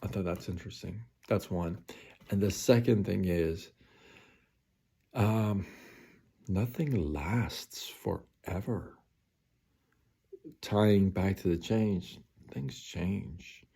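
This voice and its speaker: A man's voice: deep voice, takes it slowly, vocal fry, long pauses, warm tone, slightly rough. A man in his forties, hosting a live stream, talking casually and rambling late at night with many fillers and repeats. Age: 40s.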